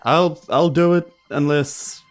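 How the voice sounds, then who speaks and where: A man's voice: a little high, fairly even intonation, slow, very noisy. A man talking casually and playfully as a player in a tabletop role-playing game.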